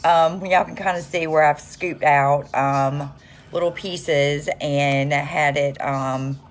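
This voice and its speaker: A woman's voice: low voice, steady tone, takes it slowly. Chatty woman with a cold. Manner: chatty, many ums, addresses viewers as y'all. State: stuffy from a cold, sniffling. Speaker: makeup livestreamer. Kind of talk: casual get-ready-with-me livestream.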